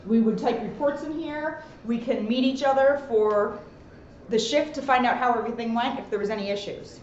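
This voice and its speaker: High-voiced woman guiding a tour, roomy sound. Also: normal speed, darkish tone. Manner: plain, explanatory, addressing viewers directly. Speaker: police officer giving a station tour. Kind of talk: informal guided tour narration. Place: indoor rooms and hallways of a police station. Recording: roomy, muffled, noisy, distant camera microphone.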